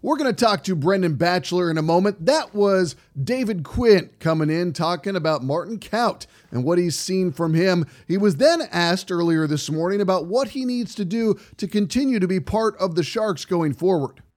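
Casting: man with a higher-pitched voice, pregame sports host, wordy and fluent on air. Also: animated, normal speed, few pauses, darkish tone. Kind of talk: pregame show hosting and commentary.

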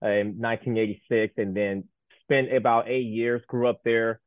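A man's voice: medium pitch, level tone, normal speed, dry recording. A man in his thirties from Taiwan, a native Mandarin speaker, chatting casually as an interview guest and rambling with many fillers and restarts. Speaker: guest, Paralympic sled hockey goaltender. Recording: tinny phone-like line.

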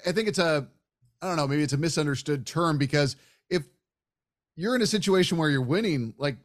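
A man's voice: on the high side, fairly quick. Chatty man hosting a sports podcast. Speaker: podcast host and sports broadcaster. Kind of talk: casual sports interview talk.

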